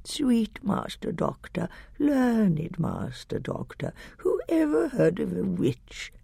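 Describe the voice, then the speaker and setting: Shrill, whining old hag. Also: gravelly, slightly rough, no echo, exaggerated voice. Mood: wheedling, fawning. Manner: drawn-out fawning flattery. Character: old hag witch, wheedling, falsely sweet and fawning.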